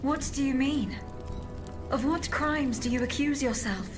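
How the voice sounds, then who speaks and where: A female automaton protector in a dramatic video game. She speaks slowly in a fairly high voice, measured, formal and gentle but firm, over a musical score. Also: music in the background.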